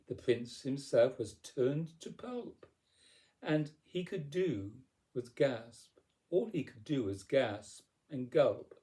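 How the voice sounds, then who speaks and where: A man narrating storybook verse as a poetry reader, with theatrical, deliberate phrasing. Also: medium pitch, a little mellow.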